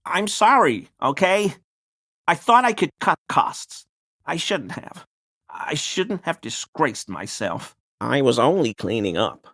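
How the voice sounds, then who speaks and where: A grovelling, small-minded townsman from a video game, speaks in a mid-pitched male voice. He is halting and apologetic, forever pleading with authority and eager to appear decent. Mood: contrite, pleading, then defensive, explaining. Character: nervous, grovelling small-time bigoted townsman under police questioning. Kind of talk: scripted video game character dialogue.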